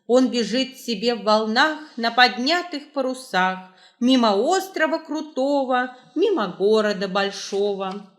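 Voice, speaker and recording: A woman hosting a quiz, reading scripted questions and answers aloud with deliberate, measured enunciation. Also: on the high side, lively intonation. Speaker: quiz host, librarian.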